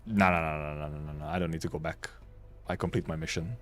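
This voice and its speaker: A man's voice: rumbling low, fairly even intonation, quick pace, faint music behind. A man livestreaming a game, chatting casually in a rambling, blunt, sweary way. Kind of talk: casual gaming stream chat.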